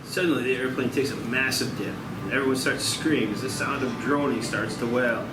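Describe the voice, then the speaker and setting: A man's voice: mid-range voice, a little fast, very noisy. A man reading out a screenplay's stage directions as narrator at a table read. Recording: echoey, distant mic, loud music behind.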